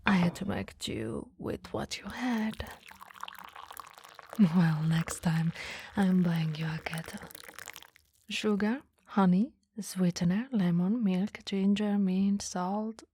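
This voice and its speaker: A woman playing a flirty, doting boss in an ASMR roleplay, soft, whispery and intimate, close to the mic. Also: medium pitch, a little hiss or hum. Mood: playful, attentive. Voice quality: breathy, whispery. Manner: soft, slow, intimate, teasing. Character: flirty, doting female boss visiting her employee. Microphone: close-up microphone.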